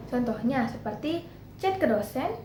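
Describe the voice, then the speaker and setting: A woman's voice: a little high, expressive, normal speed. A young woman presenting a class project, reading through lists of points with small slips and restarts. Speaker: student presenter. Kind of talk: class presentation explaining a topic. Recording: echoey room with clear background noise.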